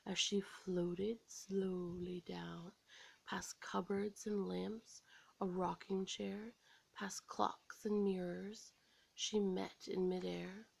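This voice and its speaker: An American woman storyteller reading a scripted children's story aloud in an expressive, dramatic way. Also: mid-range voice, slow, creaky, husky.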